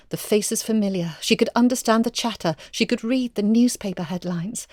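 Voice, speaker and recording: A woman narrating a literary short story expressively, in measured, evocative phrases with hushed moments. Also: medium pitch, no room sound, clean studio sound. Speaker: story reader.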